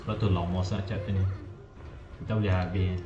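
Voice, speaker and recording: A man's voice: low voice, flat delivery, quick pace, music in the background. A man chatting casually as he streams a game. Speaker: gaming streamer. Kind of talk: casual muttered chat during gameplay. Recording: dull, noisy, echoey room recording.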